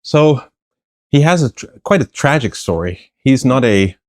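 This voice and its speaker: A man's voice: mid-range voice, lively intonation, unhurried, no room sound, clean studio sound. A middle-aged man, explaining at length as an interview guest, thoughtful with frequent fillers and restarts. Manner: thoughtful, many fillers and restarts, laughs lightly. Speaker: guest, plant philosopher. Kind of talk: conversational interview answers.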